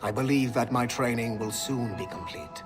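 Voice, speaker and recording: An actor playing a haughty, loyal servant of a secret order, formal and smug, in a video game cutscene. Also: male voice, medium pitch, moderate pace, over loud music, lightly acted.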